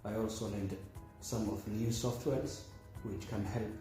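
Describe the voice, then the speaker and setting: A man from Somalia speaking about a course he took, in a halting way with repeats and fillers. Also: fairly low pitch, fairly even intonation, warm tone, very echoey, faint music behind. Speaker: course participant, academic director. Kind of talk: testimonial.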